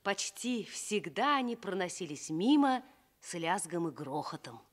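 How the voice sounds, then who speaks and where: A woman narrating a scripted fairy tale for Soviet radio, expressive and deliberate. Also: fairly low pitch. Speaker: storyteller.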